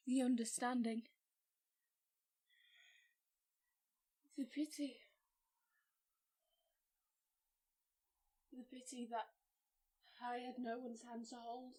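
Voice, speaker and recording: An actress playing a grieving new mother in an audio drama monologue, halting and emotionally charged as she recounts her labour. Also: on the high side, flat delivery, dark tone. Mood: sorrowful. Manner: halting, breathy, emotionally charged delivery in short phrases.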